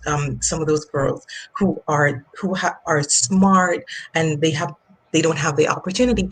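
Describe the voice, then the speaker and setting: A woman's voice: low-pitched, slightly rough, poor audio. Earnest Jamaican woman, finance coach. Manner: earnest, repeats words while thinking. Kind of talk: casual interview chat.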